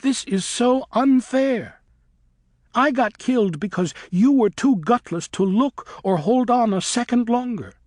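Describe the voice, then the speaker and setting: A man voicing a young female bat who is angry and grieving, shouting and wailing in a high voice. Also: animated, slow, no echo, clean studio sound, full character voice. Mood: furious.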